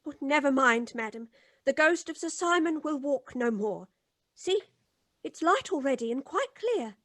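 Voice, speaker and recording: A high-pitched, kindly housekeeper of some years. She reassures in a measured, theatrical way, in the manner of an old radio play. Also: lively intonation, fairly bright tone. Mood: reassuring, wondering. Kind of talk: old-time radio drama acting. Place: home recording booth on a video call.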